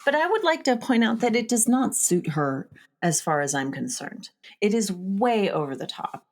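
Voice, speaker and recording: A woman's voice: medium pitch, very animated, moderate pace. A woman hosting a casual film discussion, talking in an informal and candid way. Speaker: podcast host.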